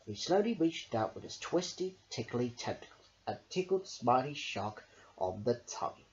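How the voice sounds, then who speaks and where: A woman reading a children's picture book aloud in a theatrical way, with deliberate emphasis. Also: extremely low pitch, big pitch swings, darkish tone, highs cut off. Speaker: storyteller.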